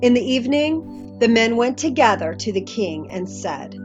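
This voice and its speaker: Female Bible story narrator, medium pitch, scripted read-aloud, dull recording with soft music. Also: sing-song, normal speed. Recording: muffled audio with faint music.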